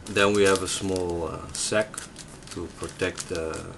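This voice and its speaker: Dutch guy doing a casual unboxing, deep voice, clear background noise on the camera mic. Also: fairly even intonation, slow. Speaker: tech reviewer. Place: indoors at a desk in a room.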